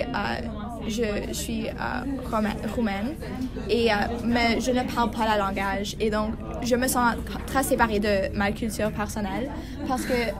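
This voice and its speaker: Hesitant teen girl, lots of fillers. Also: on the high side. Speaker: student in a class discussion. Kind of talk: classroom round-table discussion.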